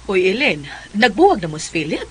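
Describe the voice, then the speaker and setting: Casting: actress as a nosy older auntie type for radio serial, very expressive, chatty, medium-pitched. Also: female voice, a little fast, over music, natural voice. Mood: prying, gossipy. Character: inquisitive, gossipy older female relative of a young woman. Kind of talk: radio drama acting.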